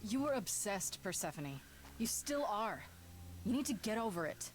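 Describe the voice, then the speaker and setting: An actress playing a blunt, defiant young heroine in a dramatic video game scene. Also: female voice, medium pitch, very animated, moderate pace, a little hiss or hum, close to an everyday voice. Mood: confronting.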